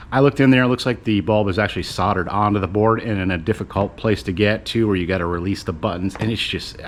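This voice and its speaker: A man's voice: fairly low pitch, slight background noise. A man casually presenting and explaining a gadget in an unscripted, rambling, conversational way as a video host. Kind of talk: casual unscripted product demonstration.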